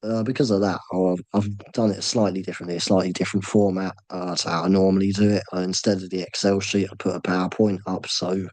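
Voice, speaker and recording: A man with a sore throat hosting an online draw, talking in a halting, low-key way with many ums and pauses. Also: flat delivery, darkish tone, no echo. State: sore throat, voice nearly gone. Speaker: host running a fantasy draw. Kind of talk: casual screen-share commentary.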